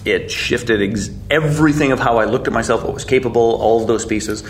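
A man's voice: medium pitch, a little fast, a little hiss or hum. A man in his forties, a guest telling his story in a casual, blunt way, swearing freely and joking at his own expense. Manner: blunt, swearing, self-mocking, chuckling.

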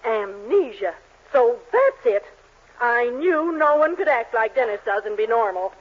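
A woman's voice: on the high side, lively intonation, a little slow. An actress playing a domineering, theatrical middle-aged mother in a 1940s radio sitcom. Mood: bossy gushing. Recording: dull 1940s radio broadcast recording with faint hiss.

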